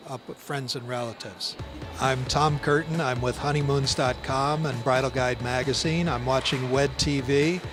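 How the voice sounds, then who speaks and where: A man from a wedding travel magazine, pitching upbeat and brisk in a promotional interview.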